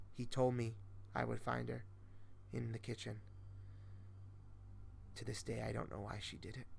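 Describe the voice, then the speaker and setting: Male horror storyteller, mid-pitched with heavy fry, dramatic and measured first-person read, slight background noise. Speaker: host reading horror stories. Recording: noticeable faint background noise.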